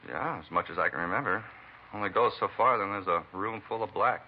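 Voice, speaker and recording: Man acting banged-up American trucker, low voice, quick folksy rambling, eccentric know-it-all, vintage radio drama, muffled recording. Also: slight background noise, close to an everyday voice. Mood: pained, chatty. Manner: rambling, folksy, plain-spoken working man. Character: beaten truck driver, talkative, folksy, eccentric self-styled bump-reader. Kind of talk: 1940s-50s radio acting.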